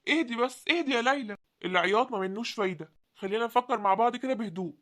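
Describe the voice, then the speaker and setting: A woman voicing a gentle young Egyptian man who calms and reassures his sweetheart. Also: fairly high pitch, racing, natural voice. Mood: soothing. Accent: Egyptian Arabic. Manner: soothing, reassuring.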